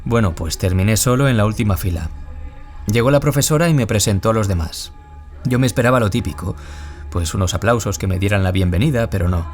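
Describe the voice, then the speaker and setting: A man acting a gentle former bullying victim turned author in an audio drama, confiding in a measured, reflective way. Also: fairly low pitch, soft background music, natural voice. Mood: sad, remembering. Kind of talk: audio drama acting as a radio interview guest.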